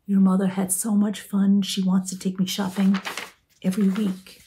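Woman reading an anxious young woman; timid, plaintive, slightly raised pitch. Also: completely flat delivery, moderate pace, mellow, slight background noise, close to an everyday voice. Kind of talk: audiobook character voice.

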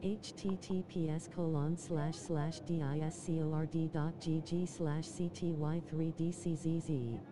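A woman's voice: sits low, little pitch movement, measured pace, hardly any pauses, warm tone. A synthetic woman's voice reading chat messages aloud literally, spelling out links and acronyms. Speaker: text-to-speech reader of chat messages.

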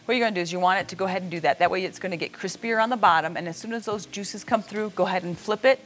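A woman's voice: on the low side, rapid-fire, a little hiss or hum. A middle-aged woman hosting a casual cooking demonstration in a plainspoken, folksy way, recorded in a home kitchen. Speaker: cooking host.

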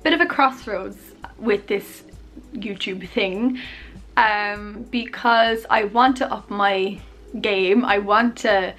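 A woman in her twenties rambling casually to camera in her bedroom, chatty and self-deprecating, her voice a little hoarse. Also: mid-range voice, slow pace, a bit bright. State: losing her voice, coughing. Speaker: YouTuber. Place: bedroom, indoors. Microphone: camera on tripod at arm's length.

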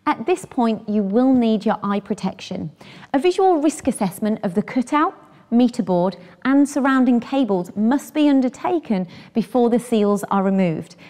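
A woman's voice: fairly high pitch, lively intonation, normal speed, dark tone. A British woman in her thirties presenting scripted instructions for a training film.

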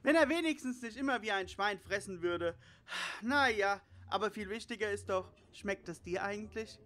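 Guy doing a nerdy know-it-all teen boy, sharp bright voice, kinda prissy. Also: high-pitched, normal speed. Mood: disapproving.

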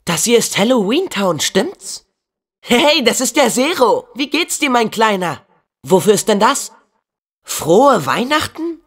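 Casting: plucky, upbeat teen boy game hero, earnest delivery, very lively pitch, unhurried pace. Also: exaggerated voice. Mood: curious, eager. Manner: earnest, spirited, casual youthful phrasing. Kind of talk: video game voice acting, German dub.

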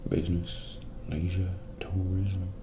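A man playing a folksy small-town motel clerk in a soft-spoken ASMR role play, with a Southern American accent, breathy and gravelly, often near a whisper. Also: sits low, little pitch movement. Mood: folksy, unhurried. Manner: slow, drawling, folksy, hesitant pauses. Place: small dim office at a desk. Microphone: close binaural microphone. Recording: noisy close binaural recording, room ambience.

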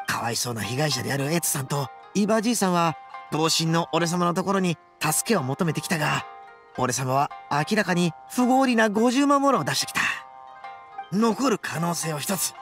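An actor voicing a brash, boastful young male oni gang boss in a game, with rough, swaggering, melodramatic speech. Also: fairly high pitch, a bit bright. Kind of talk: Japanese game voice acting.

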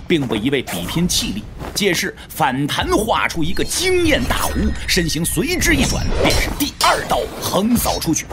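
A man narrating a martial-arts audio drama in a dramatic, scripted storytelling style. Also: a little high.

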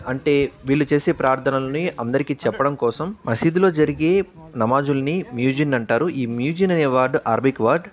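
A man's voice: fairly high pitch, dull audio. A young man with a Telugu accent narrating a travel vlog in an informative way, stopping often on "aa" fillers and mixing in English words. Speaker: travel vlogger.

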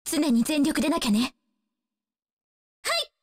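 A woman's voice: high voice, wildly swooping pitch, a little slow, darkish tone, big-room sound, exaggerated voice. A woman voicing a spirited, cocky young female martial-arts fighter in a fighting game, brash, taunting and determined. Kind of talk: Japanese fighting-game voice acting, short battle lines.

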